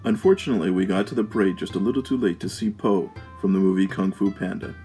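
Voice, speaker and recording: Deep-voiced man narrating a travel vlog. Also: quick pace, warm tone, faint music behind.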